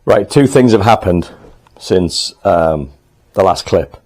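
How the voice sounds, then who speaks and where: A man talking casually to the camera in his home workshop, thinking aloud as he works, a little hoarse. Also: low voice, expressive, slow pace, a little mellow. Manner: chatty, thinks aloud while working, frequent ums. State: slightly hoarse, clearing throat. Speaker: motorcycle restorer and channel host.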